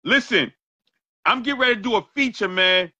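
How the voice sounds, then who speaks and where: A man's voice: high-pitched, a little fast, bright, forceful tone, slightly rough, dry recording. A man from New York hosting an album review, talking in a hyped, exclamatory way. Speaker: host.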